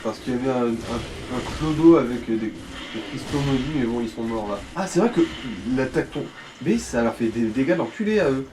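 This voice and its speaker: A man with a medium-pitched voice casually coaches someone through a video game, using coarse slang. The recording has him a bit away from the microphone, with faint noise. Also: animated, normal speed, darkish tone. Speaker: let's play co-commentator guiding the player. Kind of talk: casual gameplay chat. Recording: distant mic with faint noise.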